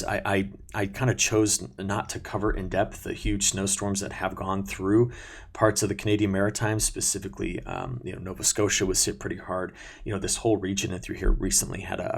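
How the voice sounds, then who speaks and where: A man's voice: low voice, gravelly, husky. A man presenting an informal, explanatory weather briefing, talking viewers through maps step by step in a conversational way.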